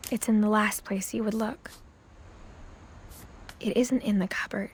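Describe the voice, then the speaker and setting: A woman playing a thoughtful young woman who quietly narrates her family's past in a game. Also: medium pitch, steady tone, unhurried, slight background noise, close to an everyday voice. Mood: quiet, wistful.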